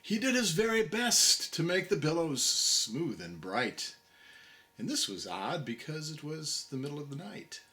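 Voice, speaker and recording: A man reciting a comic narrative poem in a dramatic, deliberate storybook manner. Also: fairly high pitch, bright, forceful tone.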